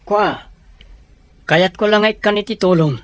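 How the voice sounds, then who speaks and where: An actress playing a hesitant person asking for help in a serial audio drama. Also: female voice, mid-range voice, unhurried, no room sound, close to an everyday voice.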